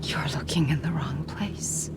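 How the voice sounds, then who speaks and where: An actress playing a somber, cryptic, haunted woman in a cinematic video game, with a breathy, whispery delivery. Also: low-pitched, steady tone, measured pace, heavy background noise. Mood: grave, cryptic.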